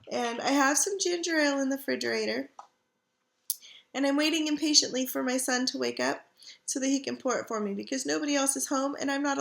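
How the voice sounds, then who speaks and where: A woman's voice: sits high, a bit bright. A middle-aged woman with a scratchy, irritated throat, chatting in a rambling way to her webcam from her couch at home. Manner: rambling, chatty, frequent ums and throat clearing. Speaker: craft vlogger. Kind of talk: casual chat to webcam. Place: living room couch at home. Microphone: computer webcam.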